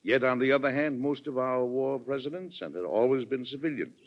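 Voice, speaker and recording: An American actor playing a courteous, reluctant wartime president with measured dignity in a 1940s radio drama. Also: male voice, mid-range voice, natural voice. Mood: genial, reflective. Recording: dull old radio transcription, music behind.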